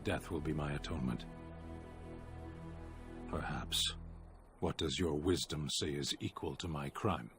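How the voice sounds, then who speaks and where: An actor playing a stoic, proud warrior prisoner in a fantasy game, speaking in a terse, solemn, formal manner. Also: male voice, rumbling low, measured pace, long pauses, a bit bright, soft background music.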